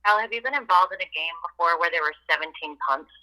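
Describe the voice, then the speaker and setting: A man's voice: fairly high pitch, quick pace, bright, forceful tone. A man, a sports reporter, putting questions to a coach on a conference call over a thin telephone line.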